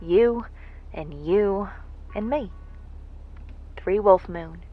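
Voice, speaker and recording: Through slightly muffled audio with music behind, an earnest, vulnerable woman in an audio drama. The voice is lowish and unhurried, with a folksy, reflective, halting delivery. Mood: sheepish, wistful. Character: earnest, vulnerable working woman recording a heartfelt message. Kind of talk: intimate monologue in an audio drama.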